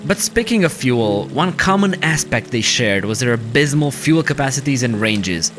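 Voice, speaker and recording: A man's voice: mid-range voice, animated, moderate pace. A man reading scripted narration as a documentary-style narrator. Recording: music bed under the narration. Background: clear background music.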